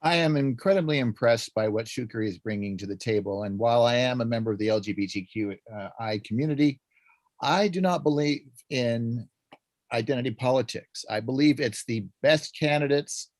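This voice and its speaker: A man speaks in favor of a candidate, slowing down to stress key points. His voice is mid-low. The recording is dry, with no room sound. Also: dry recording. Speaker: meeting member. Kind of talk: short speech in favor.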